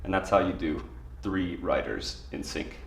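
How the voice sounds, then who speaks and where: Deep-voiced man in an echoey room. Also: measured pace, creaky, fairly bright tone. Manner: plain, informal spoken explanation. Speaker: product demonstrator. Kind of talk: instructional product tutorial, step by step. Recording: echoey room, distant mic, faint noise.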